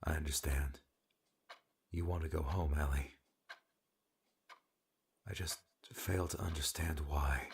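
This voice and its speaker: A man voicing a weary, brusque rescuer with a secret caring side and a husky voice, in a dramatic audiobook. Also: rumbling low, steady tone, slow, pauses often, exaggerated voice. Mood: apologetic, earnest. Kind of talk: dramatic audiobook character dialogue.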